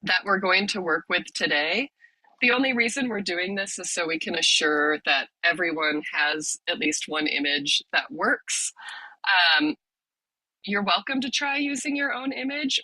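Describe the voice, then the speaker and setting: A woman leading an online workshop on a video call, explaining the steps in a friendly way with many ums, a little hoarse from a cold. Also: fairly low pitch, bright, forceful tone, dry recording, poor audio. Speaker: workshop leader, lab director.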